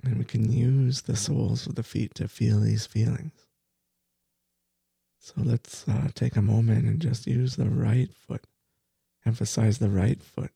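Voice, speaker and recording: Slow gravelly-voiced man guiding sleep meditation. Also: mid-range voice, fairly even intonation, no room sound. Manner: slow, soft, soothing guidance with repeated phrases. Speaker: meditation teacher.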